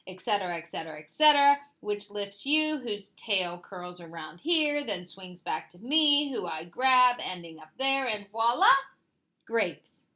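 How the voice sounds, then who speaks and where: A woman's voice: medium pitch, lively intonation, a little slow, pushed and bright. A woman voicing a sly, vain, bossy male fox in a storybook, with a pompous, condescending, showy manner. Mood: smooth, persuasive. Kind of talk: animated storybook read-aloud.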